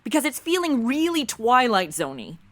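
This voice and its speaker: A woman acts a young American valley-girl type in a comedy radio play. The voice is high and swoops around, blunt, casual and easily exasperated. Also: big pitch swings, normal speed, fairly bright tone, slight background noise. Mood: puzzled. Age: young adult. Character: flighty, slangy young modern woman, easily rattled. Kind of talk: comedy audio drama acting.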